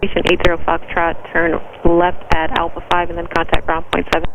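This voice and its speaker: A man working as an air traffic tower controller, giving clipped, procedural clearances and instructions over aviation radio. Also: a little high, completely flat delivery, brisk. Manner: clipped, procedural, matter-of-fact. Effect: aviation radio transmission.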